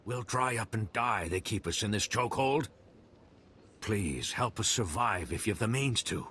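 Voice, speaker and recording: An actor playing an earnest, worried desert moisture farmer in a video game. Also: male voice, on the low side, expressive, unhurried, a bit bright, a little hiss or hum. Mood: earnest pleading. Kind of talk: video game dialogue acting.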